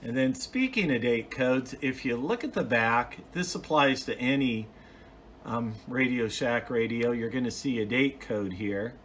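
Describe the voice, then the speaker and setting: A man's voice: mid-range voice, expressive, measured pace, fairly bright tone, a little hiss or hum. A man giving an informal, hands-on explanation as a hobbyist video host, plain-spoken and methodical, recorded on a phone at home. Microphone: phone, off camera.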